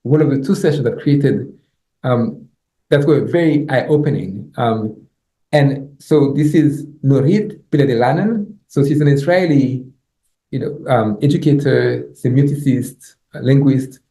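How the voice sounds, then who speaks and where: A middle-aged man with a Haitian accent, a native Haitian Creole speaker, gives an academic lecture in a halting way, repeating words and checking in with "right?". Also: mid-range voice, dark tone. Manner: halting, repeats words, tags points with "you know" and "right?". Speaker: professor, guest lecturer. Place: indoor lecture room. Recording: echoey room sound.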